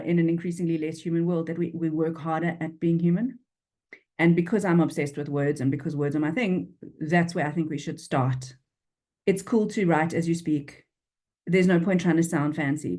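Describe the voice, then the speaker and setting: Chatty Durban-accented woman, low voice. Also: a little mellow. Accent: South African, Durban. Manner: frank, chatty, with frequent restarts. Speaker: freelance copywriter, guest. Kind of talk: casual interview chat.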